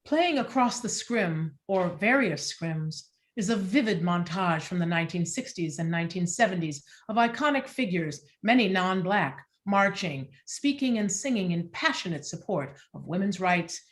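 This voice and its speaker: A woman reading stage directions as scripted narration in a measured, descriptive way. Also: on the low side, animated.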